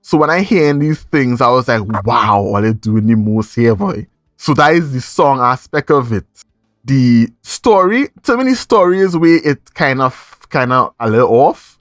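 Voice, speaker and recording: A man's voice: mid-range voice, expressive, moderate pace, no room sound, studio quality. A man with a Trinidadian accent hosting a podcast in casual review chat, speaking emphatically and repeating phrases for effect. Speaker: podcast host.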